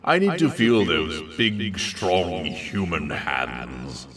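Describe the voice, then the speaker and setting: A man's voice: deep voice, expressive, extremely slow, fairly bright tone, distant mic, exaggerated voice. A man voicing a witty, petulant, flirtatious female pony creature with a lilting, effeminate, theatrical delivery in a comic story. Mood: cooing. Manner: flirtatious, mock-dramatic.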